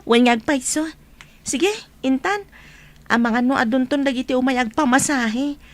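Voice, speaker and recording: Female coworker actress for radio drama: chatty, sympathetic, high-pitched. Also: normal speed, no room sound, close to an everyday voice. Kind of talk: Ilocano radio drama acting.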